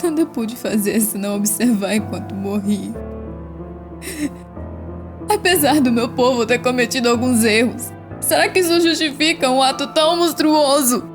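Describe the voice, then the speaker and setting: An actress playing a sorrowful alien survivor of a destroyed city in an audio drama monologue. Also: female voice, high voice, moderate pace, natural voice. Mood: sorrowful, indignant. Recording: voice under prominent music. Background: music.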